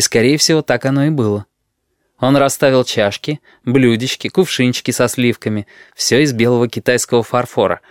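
Casting: male first-person audiobook reader, mid-pitched, even and measured, very dry studio sound. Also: no room sound. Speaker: audiobook narrator.

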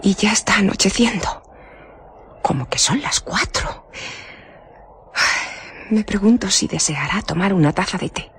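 An actress playing a nosy, fretful village innkeeper in a radio drama, deferential and given to muttering to herself. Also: female voice, medium pitch, lively intonation, a little slow, pauses often, whispered. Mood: wary musing.

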